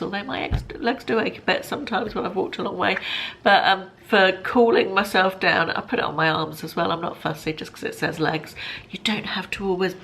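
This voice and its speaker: A British woman in her fifties vlogging casually to camera, chatty and rambling, sounding slightly bunged up, in a bright living room. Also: on the low side, slight background noise. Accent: British English. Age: 50s. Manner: chatty, friendly, rambling with fillers and self-corrections. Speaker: lifestyle vlogger.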